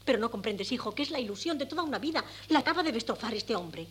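A woman's voice: fairly high pitch, animated, quick pace, a bit bright, husky, full character voice. An actress playing a melodramatic middle-aged mother and wife, an indignant, meddling matchmaker in a 1970s Spanish radio comedy. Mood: indignant, wounded. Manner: melodramatic, exclamatory. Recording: old cassette of 1970s radio play.

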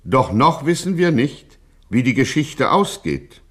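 Ein Mann erzählt als Sprecher eines Hörspiels förmlich, ironisch und mit der Geste eines Conférenciers. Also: male voice, medium pitch, very animated, unhurried. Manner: formal, showman-like, tongue-in-cheek. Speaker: radio play narrator. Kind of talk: scripted, ironic narration. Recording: music playing under the narration.

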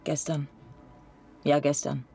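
A woman's voice: sits low, flat delivery, slow, vocal fry, warm tone, breathy edge, soft background music, heavily acted. An actress playing a middle-aged, ailing mother in a radio crime drama, guarded, weary and curt. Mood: shaken, evasive. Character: ailing, evasive, curt mother of two teenagers.

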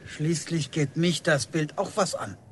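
A man's voice: fairly high pitch, measured pace, noisy background, natural voice. A man acting in a radio drama as a stern, stubborn middle-aged village policeman and father, curt, defensive and menacing. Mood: guarded, defiant.

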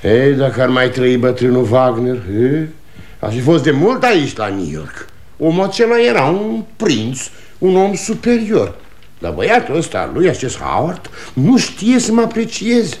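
Casting: male actor as stubborn, blustering old salesman father, rambling, highly animated pitch, 1960 radio-play delivery. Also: close to an everyday voice. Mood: wistful, indignant. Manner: agitated, blustering, rambling with repeats and interjections. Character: aging salesman father, proud, stubborn, self-contradicting, muttering. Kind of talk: 1960 Romanian radio theatre acting.

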